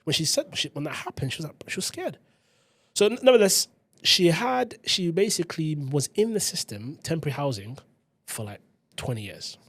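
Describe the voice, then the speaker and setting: London bloke, thirties, talks fast and a touch high, dry close sound, animated guest storyteller. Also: male voice, husky, no room sound. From South London, England. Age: 30s. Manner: animated, quick, London slang. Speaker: teacher, podcast guest. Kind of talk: casual podcast chat, personal storytelling.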